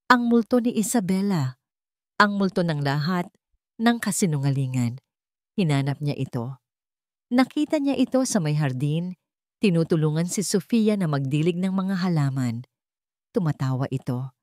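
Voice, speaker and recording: A woman's voice: fairly low pitch, animated, moderate pace, pauses often, dry recording, studio quality. A woman with a Filipino accent narrating a scripted, dramatic romance story.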